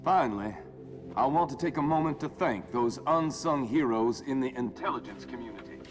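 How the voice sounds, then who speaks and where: A man's voice: mid-range voice, expressive, normal speed, recorded from a distance, music in the background, natural voice. A man playing a solemn, statesmanlike American president giving a formal address in a video game. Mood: solemn, grateful.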